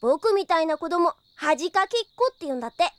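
A woman playing a cheeky, excitable, chatty young boy in an audio drama, eager and boyish. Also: very high voice, fairly quick, no room sound. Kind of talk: Japanese audio drama acting.

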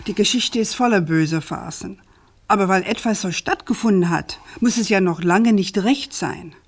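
An actress playing an earnest, persistent journalist in a radio crime drama, speaking articulately and insistently with moral indignation. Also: female voice, medium pitch, normal speed, lightly acted. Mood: indignant, pointed.

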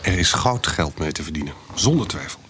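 An actor playing a sly, shabby swindler in a Dutch radio play, wry and persuasive with a slightly hesitant delivery. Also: male voice, rumbling low, lively intonation, brisk. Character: sly, shabby male swindler pitching a shady trade. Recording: noisy radio play recording.

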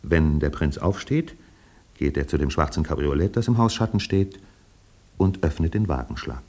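A man narrating a literary radio play in a measured, precise, faintly ironic manner. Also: very deep voice, lively intonation, darkish tone.

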